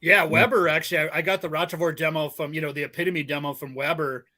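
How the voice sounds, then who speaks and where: Bright-voiced man in his forties, swearing constantly. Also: fairly high pitch, fast. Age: 40s. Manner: swears constantly, rambling and animated. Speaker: podcast guest, band member. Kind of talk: casual chat.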